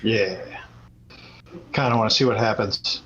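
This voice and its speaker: A man's voice: lowish voice, measured pace, pushed and bright. A man chatting casually as he comments on a story reading, on a lo-fi microphone. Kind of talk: casual chat. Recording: dull lo-fi microphone.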